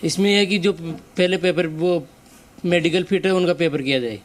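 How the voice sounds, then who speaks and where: A man's voice: sits high, normal speed. A young man preparing for the army, speaking plainly and a bit hesitantly. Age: young adult. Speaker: army aspirant.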